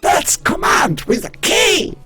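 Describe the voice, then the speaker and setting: A man's voice: slow pace, music in the background, natural voice. A man voicing a dim, chatty masked sidekick in an amateur cartoon-style comic dub. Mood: confused, earnest.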